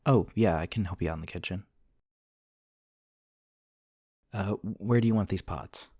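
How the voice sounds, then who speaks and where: A man acts out an earnest male scientist in an intimate ASMR roleplay. His voice sits low, and he talks in polite, precise, wordy sentences with a touch of social awkwardness. The sound is dry, with no room echo. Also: quick pace, warm tone, slightly rough. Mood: curious, friendly. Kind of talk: ASMR roleplay, one-sided acted conversation.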